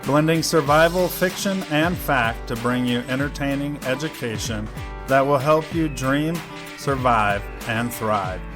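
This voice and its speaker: A man delivers a scripted podcast intro as the announcer. His voice sits at a medium pitch, with clearly audible plucked guitar music behind it. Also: unhurried. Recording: music under the voice.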